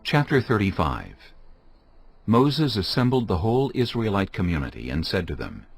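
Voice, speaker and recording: A man with a very deep voice narrates scripture from a script. His intonation moves widely as he tells the story, and music plays clearly underneath him. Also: big pitch swings, normal speed. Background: music bed.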